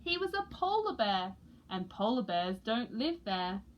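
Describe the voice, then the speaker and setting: A woman reading a children's picture book aloud with expressive narration. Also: on the high side, unhurried, lo-fi sound. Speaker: storybook reader for a school.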